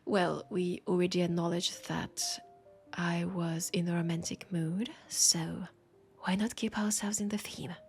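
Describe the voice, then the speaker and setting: Romantic bookseller for an intimate audio roleplay, played by a woman: French accent, unhurried breathy delivery, tender and teasing, music underneath. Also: on the low side, level tone, a little mellow. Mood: warm, playful. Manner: slow, breathy, whispery, tender and playful. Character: romantic, teasing female bookseller fond of a vampire customer. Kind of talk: soft intimate roleplay audio with poetic reading. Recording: music behind the voice.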